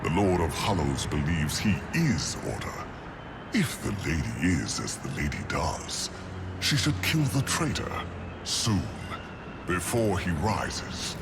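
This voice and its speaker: An actor playing a pompous, scheming demon lord in a video game, silky and mockingly courtly. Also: male voice, sits low, wildly swooping pitch, takes it slowly, noisy background, exaggerated voice. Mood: scheming, insistent. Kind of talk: video game cutscene acting.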